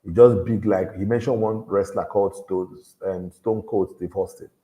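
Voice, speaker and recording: Deep-voiced man exhorting. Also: steady tone, moderate pace, warm tone, rough recording. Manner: earnest, exhorting, repeats key lines. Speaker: motivational speaker at a youth event. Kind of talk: motivational talk.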